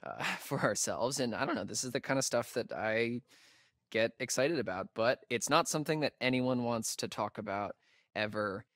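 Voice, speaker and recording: An actor playing an awkward, nerdy young camp counselor in a video game, an American man who talks in a halting, self-conscious, easygoing ramble. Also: medium pitch, expressive, fairly bright tone, no echo, studio quality, lightly acted. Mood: shy, earnest. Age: young adult. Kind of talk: naturalistic game dialogue.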